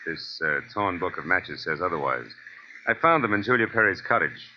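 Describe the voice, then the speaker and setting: An American man playing a wry, blunt, hard-boiled private detective in 1940s radio drama style, with clipped deadpan delivery. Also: sits low, moderate pace, a little hiss or hum. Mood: probing. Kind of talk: 1940s radio drama acting, first-person noir narration. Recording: dull old-time radio recording with music.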